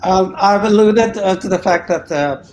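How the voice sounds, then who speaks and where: A man who grew up in India, speaking hesitantly with frequent pauses as a participant in a panel discussion. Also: sits high, darkish tone, slight background noise, rough recording. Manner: hesitant, with many "uh" fillers and restarts. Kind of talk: personal reflection in a panel discussion.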